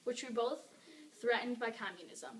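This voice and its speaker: A woman's voice: fairly high pitch, normal speed. A young woman reading a scripted amateur newscast as the anchor. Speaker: newscast anchor in a history project. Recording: distant mic with faint noise.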